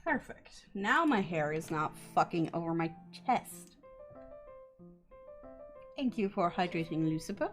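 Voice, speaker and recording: A woman's voice: fairly low pitch, very animated, unhurried, pauses often. A woman livestreamer reading a visual novel aloud and chatting casually, with playful, sweary asides. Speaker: livestreamer, VTuber. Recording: music behind the voice.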